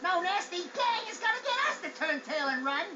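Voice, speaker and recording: A man voicing a small, boastful, excitable male animal sidekick in a video game cutscene, telling things in a brash, theatrical way. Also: very high-pitched, a little fast, noisy background. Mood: cocky. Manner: brash, bragging, theatrical storyteller. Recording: dull game audio under music.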